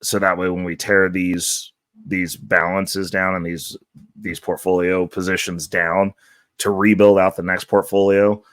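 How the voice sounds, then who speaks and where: Man, hoarse, low voice, rambling upbeat vlog host, dry close sound. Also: level tone, a little slow, a bit bright, dry recording. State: hoarse, losing his voice. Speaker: channel host. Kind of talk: casual market-update vlog.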